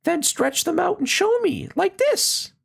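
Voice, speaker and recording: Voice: very high-pitched, sing-song, measured pace, gravelly, voice pushed up, heavily acted. A storybook reader voicing a brash young male wild rabbit, blunt, boastful and teasing. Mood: taunting.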